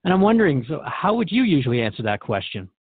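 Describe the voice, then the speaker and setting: A man's voice: a little high, big pitch swings, fast talker, breathy edge, no room sound, narrow, tinny audio. A man playing a curious, polite radio host in an audio drama, interviewing in a courteous, conversational way.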